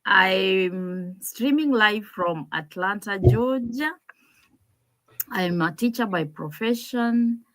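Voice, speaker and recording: A woman's voice: mid-range voice, lively intonation, slow pace, a bit bright, no echo. A woman with a Kenyan accent co-presenting an informal live webinar.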